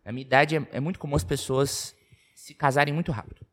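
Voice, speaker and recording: Twenties Brazilian man, co-host, explanatory, mid-pitched everyday voice. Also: steady tone, quick pace. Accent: Brazilian Portuguese. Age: 20s. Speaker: podcast co-host. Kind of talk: casual chat.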